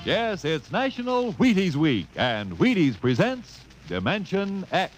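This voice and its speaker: A man's voice: high voice, big pitch swings, unhurried, over loud music. A male radio announcer reading an upbeat, salesy commercial in a 1950s broadcast.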